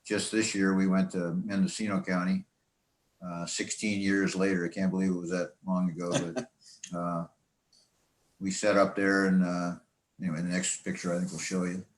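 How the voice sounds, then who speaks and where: An older man with an American accent gives an informal slideshow talk, reminiscing in a rambling, halting, conversational way. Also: deep voice, level tone. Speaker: speaker presenting to a radio club. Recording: echoey, roomy sound.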